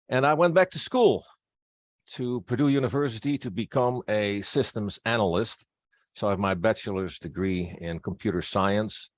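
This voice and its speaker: A middle-aged man with a Dutch accent speaks in a low voice. He is a native Dutch speaker presenting a personal introduction to a group. The recording is dry, with little room sound. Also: no echo. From Netherlands. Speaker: presenter, district governor-elect and pastor.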